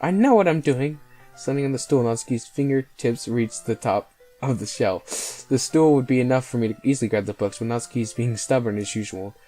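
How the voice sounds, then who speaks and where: A man's voice: mid-range voice, fairly even intonation, brisk, mellow. A man reading visual novel narration aloud as host of a gameplay video. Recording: voice over faint game music. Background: faint music.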